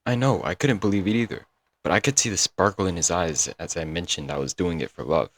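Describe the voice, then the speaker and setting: Man acting as deep-voiced lovesick broke kidnapper. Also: brisk, a bit bright, a little hiss or hum. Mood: rambling, defensive. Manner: coaxing, rambling, pet names, nervous chuckles. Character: lovesick broke young male yandere kidnapper, doting, apologetic. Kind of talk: comedic roleplay audio drama, intimate monologue to listener.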